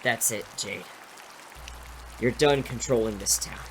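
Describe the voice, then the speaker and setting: A man's voice: medium pitch, a little slow, a little hiss or hum, close to an everyday voice, poor audio. A man playing a protective, wry superhero mentor with an assured manner in an audio drama.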